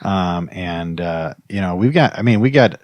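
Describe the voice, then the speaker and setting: Lowish guy hosting a comedy podcast, rambly, really swingy pitch, dry close sound. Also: animated, measured pace, darkish tone, no echo. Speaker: podcast host. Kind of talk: improvised comedy podcast chat.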